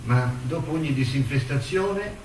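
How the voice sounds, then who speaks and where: An actor playing a condemned intellectual, tender and reflective, declaiming his monologue with measured phrasing and dramatic pauses. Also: medium pitch, expressive, a little mellow, natural voice. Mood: quiet, reflective. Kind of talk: theatrical stage monologue, declaimed poetry. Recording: roomy, distant stage recording with faint noise.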